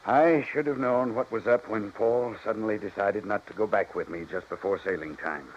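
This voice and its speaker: An actor playing a bitter betrayed husband in a 1950s radio drama, intense and halting. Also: male voice, on the low side, natural voice. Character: bitter betrayed husband, a yacht owner who survived sabotage. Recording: noisy, dull 1950s radio recording.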